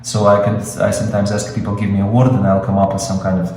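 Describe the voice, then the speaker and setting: A man's voice: on the low side, monotone, quick pace, a little mellow, very echoey, far from the mic, low-quality recording. A man with a Russian accent, Russian his first language, teaching screenwriting in an improvised webinar, explaining with many fillers and restarts. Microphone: video call.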